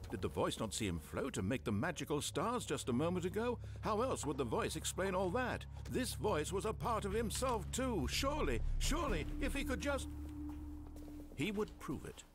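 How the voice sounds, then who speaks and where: A man narrating a video game in a measured, wry, theatrical storytelling manner over game music. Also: sits high, very animated, background noise.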